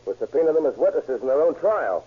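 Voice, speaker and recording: Fast, husky policeman. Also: fairly high pitch, very animated. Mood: eager, confident. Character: brisk, confident police officer. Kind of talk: 1940s radio acting. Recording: muffled vintage radio recording.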